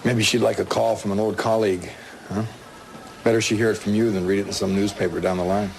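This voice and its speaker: Male lead for a crime audio drama: dogged detective, lowish voice, clipped and dry, faint noise behind. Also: level tone, fast, vocal fry, rough recording. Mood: matter-of-fact. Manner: clipped, matter-of-fact questioning.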